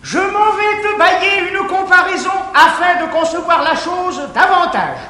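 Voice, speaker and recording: A slow, high-voiced peasant valet. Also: male voice, full character voice. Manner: slow, drawn-out, declaiming verse loudly. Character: simple-minded male peasant valet, slow, emphatic. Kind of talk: 1950s stage verse comedy. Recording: roomy theatre recording with hiss.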